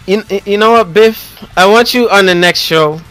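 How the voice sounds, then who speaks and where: A man's voice: sits high, moderate pace, a bit bright, faint music behind, rough recording. A young man with a Haitian accent hosting a casual gaming podcast, joking and rambling with lots of restarts. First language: Haitian Creole or French. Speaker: podcast host.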